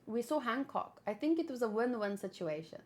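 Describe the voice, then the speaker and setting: A woman's voice: fairly high pitch, sing-song, a little fast, darkish tone. A woman host chatting casually and informally about manga, rambling a little as she thinks aloud.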